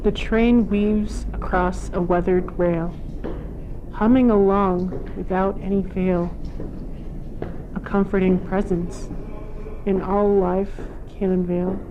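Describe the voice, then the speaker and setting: A woman reciting scripted poetry in a measured, solemn manner. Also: medium pitch, steady tone. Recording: muffled, clearly noisy pre-recorded message.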